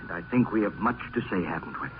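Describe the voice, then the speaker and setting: A man's voice: expressive, quick pace. A man playing a nervous, courtly aristocrat in a gothic radio drama, theatrical and effusive in his speech. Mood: effusive welcome. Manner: theatrical, effusive, high-strung. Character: nervous, courtly, ailing aristocrat in gloomy mansion. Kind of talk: old-time gothic radio drama acting. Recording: muffled, faintly noisy old radio.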